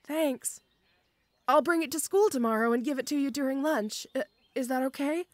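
Audio roleplay: woman as a strong but gentle orc schoolgirl, high voice, stammering and shy, very dry recording. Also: normal speed, dry recording, close to an everyday voice. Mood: shy, earnest. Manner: hesitant, stammering, bashful.

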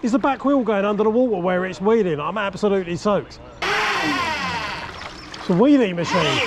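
Excitable high-voiced man outdoors. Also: gravelly. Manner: excitable, laughing, thinking aloud. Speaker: vlogger. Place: outdoors on a river. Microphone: action camera. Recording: loud outdoor noise. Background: rushing water and outdoor noise.

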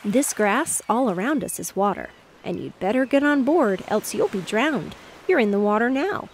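A woman reading aloud, voicing an excitable, bossy young boy who plays ship captain. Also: fairly high pitch, moderate pace, a little hiss or hum. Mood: eager. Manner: eager and insistent.

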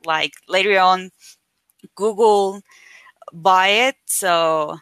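A woman whose first language is Spanish, a guest on a live video call chatting casually about her work, with lots of "like" fillers and lively retelling. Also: fairly low pitch, drawn-out words, no room sound. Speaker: guest, affiliate marketer. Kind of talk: casual interview chat.

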